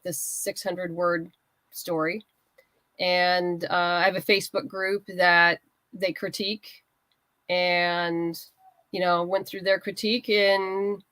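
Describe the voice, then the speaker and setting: A woman's voice: lowish voice, fairly bright tone, no room sound. A woman writer chatting as an interview guest, candid and conversational, explaining things in loose fragments. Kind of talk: casual interview chat.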